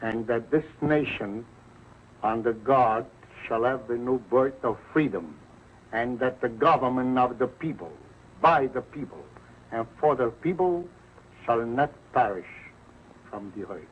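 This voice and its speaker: An actor playing an eager, earnest immigrant with an Italian accent and broken English, in an early television sitcom. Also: male voice, medium pitch, lively intonation, measured pace, slight background noise, lightly acted. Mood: solemn. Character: eager, earnest immigrant man seeking citizenship. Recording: muffled old 1940s broadcast.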